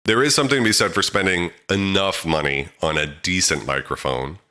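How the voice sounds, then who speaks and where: A man with a very deep voice hosting an audio tutorial, talking casually about microphones with plenty of fillers, close to a handheld mic in a home studio. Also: brisk, smooth. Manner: relaxed, chatty, frequent fillers. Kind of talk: casual how-to explainer.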